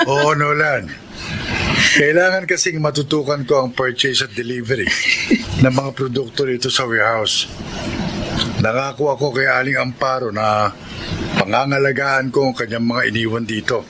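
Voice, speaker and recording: A man's voice: mid-range voice, fairly even intonation, moderate pace, pauses often, background noise, close to an everyday voice. An actor playing a humble, earnest and devoted working father in a radio drama. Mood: warm, encouraging.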